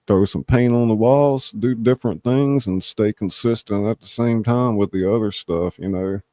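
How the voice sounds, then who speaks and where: Laid back guy hosting, folksy casual chat, low relaxed voice. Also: normal speed, no room sound, clean studio sound. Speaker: show host and writer.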